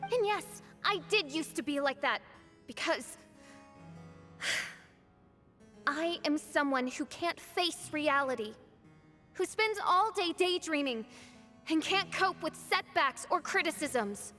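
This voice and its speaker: A woman's voice: sits high, expressive, slow pace, long pauses, pushed and bright, soft background music, exaggerated voice. A woman voicing a proud young princess in a video game, with a dramatic, declamatory and grandiose delivery. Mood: defiant, impassioned. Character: proud, theatrical young princess facing her mocking dark self. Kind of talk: theatrical video game voice acting.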